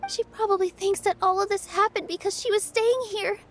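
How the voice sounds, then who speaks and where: A woman playing a gentle, earnest young theater dancer in a video game. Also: extremely high pitch, steady tone, a little fast, faint music behind. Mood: worried.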